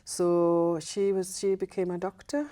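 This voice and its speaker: Low, mellow-voiced woman from Punjab. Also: little pitch movement. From Punjab, Pakistan. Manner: careful, halting, with frequent fillers. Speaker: podcast guest. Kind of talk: casual conversation.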